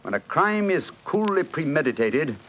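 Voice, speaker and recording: A man acting a brilliant, self-assured English detective in a 1940s radio drama, lecturing crisply and logically in a British accent. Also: a little high, very animated, moderate pace, a bit bright. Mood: insistent reasoning. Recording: dull, hissy 1940s radio recording.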